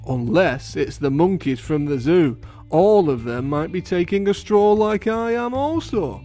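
A man voicing a gentle, polite male lion who is puzzled and speaks with soft courtesy. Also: fairly high pitch, lively intonation, a little slow, soft background music. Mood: musing. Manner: bewildered, softly courteous.

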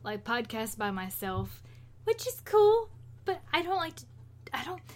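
A woman's voice: high voice, sing-song, unhurried, darkish tone. A woman co-hosting a podcast, chatting casually and retelling stories in an informal, rambling, conversational way. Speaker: podcast co-host.

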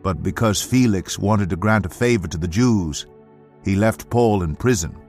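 A man narrating scripture as an audiobook, with a measured, solemn and emphatic dramatic delivery. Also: deep voice, darkish tone, faint music behind. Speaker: Bible narrator.

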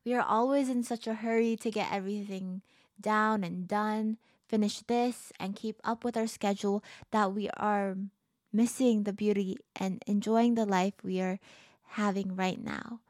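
A woman's voice: mid-range voice, steady tone, unhurried, a little mellow, dry recording, studio quality. A teenage girl hosting her own podcast, chatting casually and upbeat with lots of filler words, her voice a little tired. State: a bit tired, voice lower than usual. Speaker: podcast host. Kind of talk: casual solo podcast chat.

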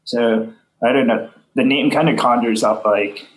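A young man from Canada, a band member answering interview questions in soft, halting casual talk full of fillers. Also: medium pitch. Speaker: band leader, interview guest. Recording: echoey room.

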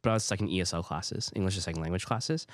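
A young man talks candidly on a podcast. His voice sits very low, and he keeps dropping "like" between thoughts as he tells personal stories. The close, dry mic leaves no room sound. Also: very fast, dry recording. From Hawaii. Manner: candid, filler-heavy storytelling with frequent "like". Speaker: podcast co-host. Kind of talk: casual chat.

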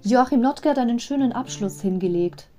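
A woman's voice: on the high side, lively intonation, brisk, warm tone, faint music behind. A woman hosting a fan podcast, retelling a children's audio story in a chatty, digressive way with personal asides. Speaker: podcast host.

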